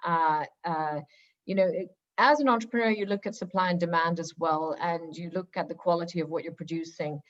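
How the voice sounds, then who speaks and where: A woman from Singapore speaking on a webinar panel as a school founder, lively and chatty with many fillers. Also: on the low side, brisk. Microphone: video call. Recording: dull lo-fi video call audio.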